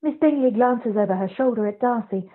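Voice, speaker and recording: A woman's voice: a little high, fairly quick. A woman reading scripted scene narration for a radio play. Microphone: video call. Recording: dull video-call audio.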